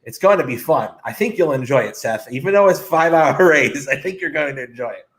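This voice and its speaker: A man's voice: fairly high pitch, expressive, fast talker, a bit bright. A man co-hosting a casual sports talk show, giving blunt opinions in short, punchy phrases.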